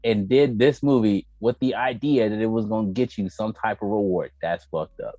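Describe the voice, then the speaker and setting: A man's voice: fairly low pitch, normal speed, warm tone, no room sound. A man chatting casually as a co-host, giving blunt, emphatic opinions in slangy, profane language. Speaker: podcast co-host. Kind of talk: casual opinionated chat about video game movies. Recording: dull lo-fi mic, music underneath.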